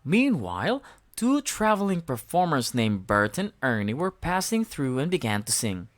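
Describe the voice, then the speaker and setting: A woman reading a children's storybook aloud in an expressive narrating style. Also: low-pitched, moderate pace.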